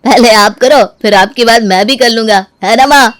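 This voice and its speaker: A woman's voice: a little high, normal speed, fairly bright tone, no echo, studio quality, lightly acted. A woman voicing a playful, eager young man, the younger brother in an acted family story. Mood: teasing, eager. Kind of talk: acted dialogue in a Hindi moral story.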